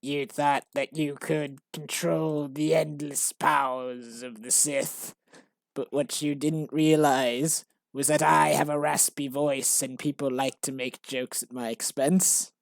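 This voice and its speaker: A woman voicing an evil old male space emperor with a raspy, slow, drawn-out delivery. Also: low voice, steady tone, no room sound, full character voice. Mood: gloating.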